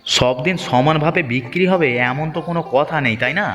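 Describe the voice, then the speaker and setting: A man's voice: a little high, brisk, a bit bright, natural voice. Man acting an irritable village husband, reverberant. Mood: irritated. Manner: curt, argumentative. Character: irritable, stubborn village market vendor husband. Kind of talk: cartoon dialogue acting. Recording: long reverb, music behind.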